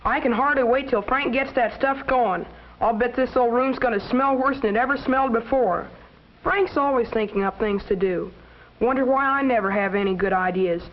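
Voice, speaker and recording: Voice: medium pitch, moderate pace, close to an everyday voice. A young performer playing an eager, slangy schoolboy sidekick in a 1950s educational film. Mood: eager, gleeful. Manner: gleeful, boyish, slangy. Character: eager mischievous schoolboy sidekick, admiring follower. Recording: dull, noisy vintage film soundtrack.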